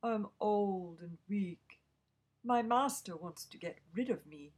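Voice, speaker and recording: A woman doing a slow, mournful old dog. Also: mid-range voice, animated, darkish tone, slightly rough. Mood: gloomy. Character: old, weak male dog, gloomy.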